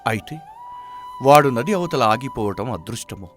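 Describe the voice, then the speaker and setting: A man playing a philosophical old village father in a moral story, speaking in a measured, stoic, reassuring way. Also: mid-range voice, lively intonation, soft background music, lightly acted. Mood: calm, accepting.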